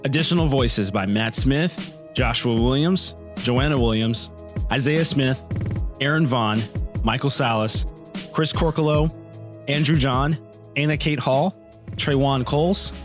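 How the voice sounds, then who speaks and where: A man's voice: mid-range voice, a little slow, long pauses. A man reading scripted credits as an announcer. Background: music.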